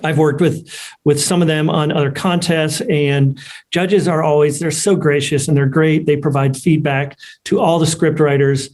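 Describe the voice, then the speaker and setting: Higher-pitched man hosting, halting delivery. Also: steady tone, darkish tone. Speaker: host of an online award ceremony. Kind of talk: unscripted event hosting. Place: remote video call.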